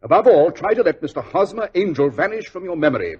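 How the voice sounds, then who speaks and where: An actor playing a brisk, self-assured gentleman detective in a 1940s radio drama, clipped and dryly offhand. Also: high voice, big pitch swings, heavily acted. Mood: courteous, measured. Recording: dull, rough vintage radio recording.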